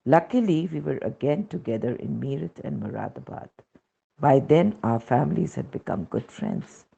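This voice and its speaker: A man with an Indian accent reads a scripted devotional testimony in a measured, solemn manner, on a dull recording. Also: fairly high pitch, lively intonation, creaky, mellow, slightly rough.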